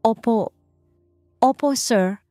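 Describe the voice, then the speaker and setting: A woman acts out a secretive, anxious mother in an audiobook drama. Her mellow voice is hushed, deferential and fearful, over soft music. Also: on the high side, slow, pitched above the performer's own voice.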